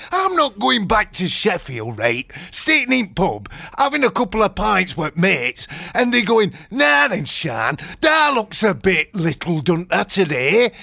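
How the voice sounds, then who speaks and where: A man playing a cocky Yorkshire film actor and self-styled hard man, telling a boastful anecdote in a blunt, indignant comic monologue, husky and gravelly. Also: high voice, wildly swooping pitch, measured pace, pushed and bright, no echo, clean studio sound, exaggerated voice. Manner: blunt, indignant storytelling with quoted back-and-forth.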